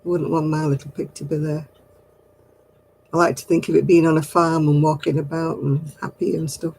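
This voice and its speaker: A woman's voice: sits low, flat delivery, moderate pace, vocal fry, darkish tone, breathy edge, low-quality recording. An older woman with a mixed Oldham and Manchester accent, chatting casually to live viewers in a rambling, homely way and chuckling often. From Royton, Oldham, England. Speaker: livestream host. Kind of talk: casual chat answering live comments.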